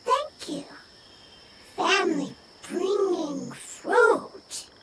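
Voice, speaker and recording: A woman voicing a small, earnest forest creature in a video game, squeaky and childlike, speaking in halting, broken fragments. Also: lively intonation, very noisy, heavily acted. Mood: worried, halting. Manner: halting fragments of one to three words. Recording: distant voice under loud music.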